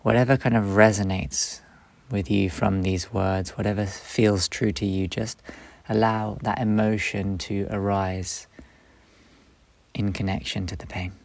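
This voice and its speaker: Low, creaky-voiced man guiding meditation. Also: fairly even intonation, no echo. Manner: slow, gentle delivery in short phrases with long pauses. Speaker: meditation guide. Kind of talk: guided meditation, instructional and soothing.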